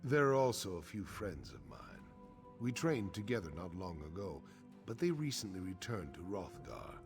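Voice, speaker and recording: An actor playing a grave, honorable middle-aged orc warrior chief in a video game, speaking in a solemn, measured, frank way. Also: male voice, sits low, very animated. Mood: matter-of-fact. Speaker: quest-giving game character. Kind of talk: scripted video game dialogue. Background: game music.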